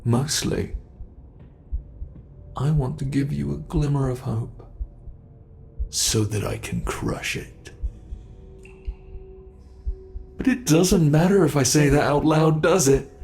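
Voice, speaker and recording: A man playing a sadistic monster in an ASMR horror roleplay, taunting captive prey with slow, savoring menace and a gravelly, creaky voice. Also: medium pitch, darkish tone, faint music behind. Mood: smug menace. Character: sadistic male monster gloating over captive human prey.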